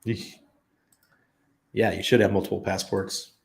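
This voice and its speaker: A man with a fairly low, gravelly voice hosts a casual livestream and answers viewers' chat. He rambles and thinks out loud, full of ums and false starts. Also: little pitch movement, measured pace. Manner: rambling, thinks aloud with many ums and restarts. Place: expat living abroad.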